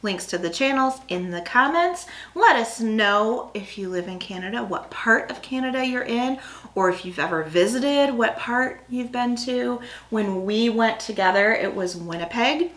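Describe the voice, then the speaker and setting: A woman's voice: on the high side, expressive, a little slow, a bit bright. Chatty woman in an echoey room. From Midwestern United States. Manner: chatty and rambling, with fillers and asides. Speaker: food review channel co-host. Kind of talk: casual chat while tasting snacks.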